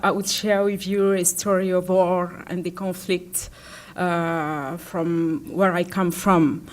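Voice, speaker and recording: A young woman from the Democratic Republic of Congo giving a public talk about her own story, pausing often with fillers and restarts. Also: mid-range voice. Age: young adult. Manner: halting, many fillers and restarts. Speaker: invited speaker.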